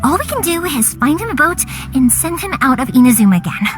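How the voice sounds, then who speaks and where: A young woman voicing a cheerful fireworks maker who talks in a lively, reassuring way, over game music. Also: high voice, quick pace. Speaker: fireworks shop owner. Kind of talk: video game dialogue. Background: game background music.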